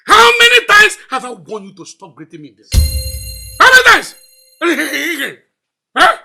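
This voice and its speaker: An actor playing an irate, bullying older father-in-law with a Nigerian accent and a raspy voice, scolding in repetitive outbursts. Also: high-pitched, big pitch swings, normal speed, bright, forceful tone, faint music behind, heavily acted.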